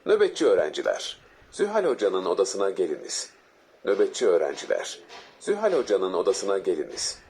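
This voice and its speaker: Low, animated man making announcements. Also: normal speed. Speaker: announcer of recorded school paging messages. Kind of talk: public announcement.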